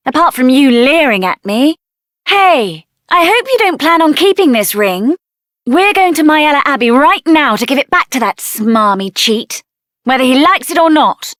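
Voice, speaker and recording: Game heroine: young female mage, high and lively voice, assertive, sassy, dry close recording. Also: no echo, full character voice. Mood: indignant, scornful. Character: spirited, headstrong young female mage adventurer. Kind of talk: video game character voice acting.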